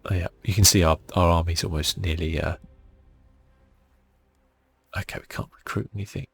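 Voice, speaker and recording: A man giving soft-spoken ASMR commentary on a video game in a murmured, laid-back way, as if thinking aloud. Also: deep voice, fairly even intonation, moderate pace, vocal fry, husky. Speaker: gaming channel host. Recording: faint music behind.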